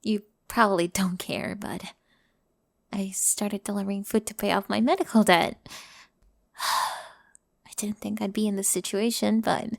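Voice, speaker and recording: A woman's voice: medium pitch, steady tone, measured pace, creaky, pauses often, no echo, clean studio sound. A woman playing an insecure, easily flustered young delivery girl in an audio roleplay, stammering and emotional. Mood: wounded. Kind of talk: scripted audio roleplay acting.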